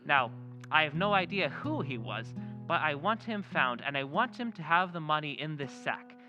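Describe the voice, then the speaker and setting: A man's voice: on the high side, big pitch swings, highs cut off, music in the background. An actor playing a mysterious male stranger who speaks formally, in an old-time radio play style. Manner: formal, measured.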